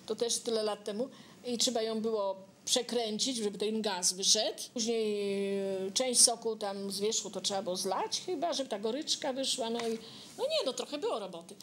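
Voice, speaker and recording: A woman's voice: on the high side, normal speed, slight background noise. An older woman casually reminiscing as a local resident, explaining things step by step in colloquial speech. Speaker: local resident sharing memories.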